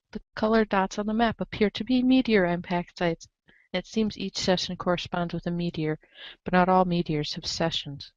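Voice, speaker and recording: A woman's voice: medium pitch, no echo. A woman reading scripted narration aloud as the narrator of a group reading. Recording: dull lo-fi audio.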